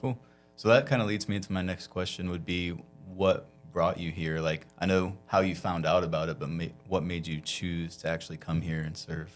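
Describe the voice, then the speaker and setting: A man's voice: deep voice, steady tone, moderate pace, few pauses, faint music behind. A man hosting a podcast, asking questions in a casual interview style. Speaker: podcast host.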